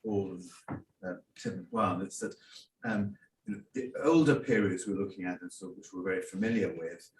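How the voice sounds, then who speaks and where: A man's voice: sits low, moderate pace, a little hiss or hum. A man in the audience asking a long question from the floor, with many ums and hesitations. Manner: hesitant, many ums, quiet until asked to speak up. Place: conference hall, in the audience. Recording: echoey hall, voice from far off.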